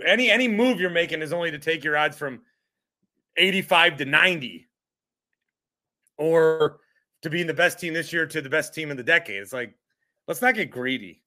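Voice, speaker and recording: Bright-voiced man hosting a sports podcast. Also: a little high, animated, moderate pace. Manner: joking, self-deprecating, chatty. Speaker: podcast host. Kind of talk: casual sports chat.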